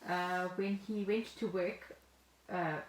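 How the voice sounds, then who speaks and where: A woman's voice: fairly low pitch, fairly even intonation, slow. A South African woman chatting casually as a podcast co-host, telling stories in a jokey way. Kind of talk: casual chat. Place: at home, indoors. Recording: roomy echo, faint noise, remote call.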